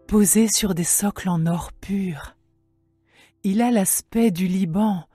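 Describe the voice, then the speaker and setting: A lovesick young woman, played by an actress, speaks slowly and tenderly in a dramatized scripture reading. Her voice is slightly husky and close, with little room sound, and music plays behind her measured, poetic lines. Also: mid-range voice, dark tone, no room sound.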